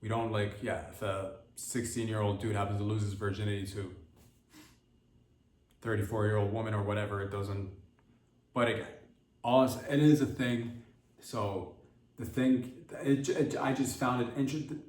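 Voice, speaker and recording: A man's voice: on the low side, fairly even intonation, moderate pace, long pauses, darkish tone. A man hosting a comedy podcast, rambling through a loose monologue full of restarts and asides. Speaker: podcast host. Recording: roomy, distant from mic.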